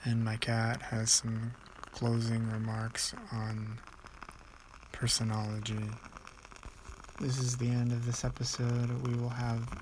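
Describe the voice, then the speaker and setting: A man's voice: medium pitch, monotone, slow. A man hosting an ASMR vlog, talking to viewers in a casual, reflective way. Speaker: host of a daily ASMR video series. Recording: clearly noisy background.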